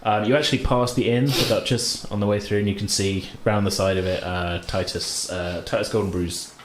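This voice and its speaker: A man improvising narration as a tabletop game master in an informal, halting way. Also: on the low side, fairly even intonation. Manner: halting, informal, hedging descriptions. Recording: roomy, light background noise.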